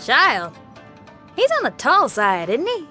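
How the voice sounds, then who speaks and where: A woman's voice: sits high, huge pitch swings, slow, bright, forceful tone, soft background music, heavily acted. A woman playing a confident, free-spirited young musician warrior in a video game, bold and folksy in manner. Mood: easygoing, resolute. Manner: folksy, bold, plain-spoken.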